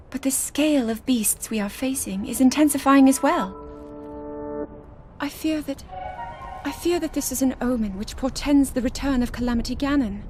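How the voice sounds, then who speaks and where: A young woman playing an earnest, scholarly princess in a video game cutscene, speaking with precise, formal and expressive diction. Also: sits high, lightly acted. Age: young adult.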